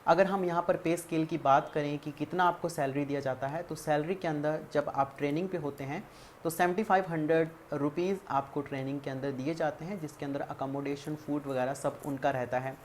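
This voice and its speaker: An Indian woman with a notably low-pitched voice presents an explainer in a methodical, point-by-point way. She mixes English terms into her speech as she guides the listener. There is some ambient noise behind her voice. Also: fairly even intonation, fairly quick, a little mellow. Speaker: career guidance video presenter. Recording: clearly audible background ambient noise.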